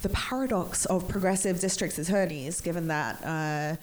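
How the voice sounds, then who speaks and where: A woman's voice: lowish voice, moderate pace. An English woman hosting a bookstore event, nasal from a cold, chatty with many ums and restarts. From England. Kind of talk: event introduction.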